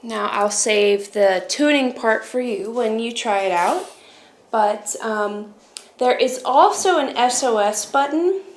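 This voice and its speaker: A woman with a fairly high voice gives a casual, hands-on product walkthrough. She explains each step plainly as a review presenter. There is noticeable background noise in the recording. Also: slow pace.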